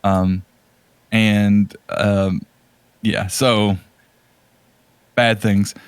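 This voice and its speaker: A man co-hosting a podcast, chatting casually about video games in a halting, rambling way. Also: lowish voice, flat delivery, clear, resonant tone, dry recording. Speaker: podcast co-host.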